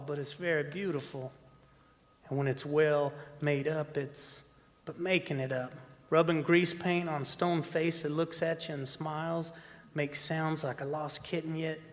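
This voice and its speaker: An actor playing a haunted, obsessed older oil driller, telling a horror tale in a dramatic, halting, anguished way in a stage radio play. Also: male voice, on the high side, close to an everyday voice. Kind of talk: old-time radio horror monologue performed on stage. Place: performing arts center stage. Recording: reverberant stage hall with noise.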